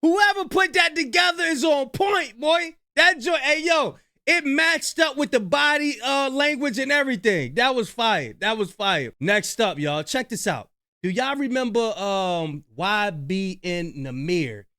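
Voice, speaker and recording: A man doing reaction commentary in a slangy, animated way, talking straight to his viewers. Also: extremely high pitch, measured pace, no room sound, studio quality.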